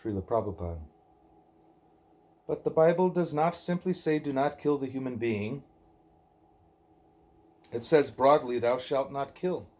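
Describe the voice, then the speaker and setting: Male reader, mid-pitched and very mellow, deliberate scripted narration of a spiritual dialogue. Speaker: reader of a devotional book; parent reading aloud at a child's bedtime.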